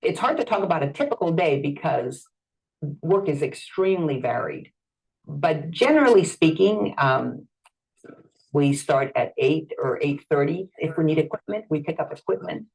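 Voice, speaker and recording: Husky low-voiced Salvadoran woman, explaining. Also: animated, moderate pace, darkish tone, low-quality recording. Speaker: court interpreter. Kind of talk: documentary interview narration.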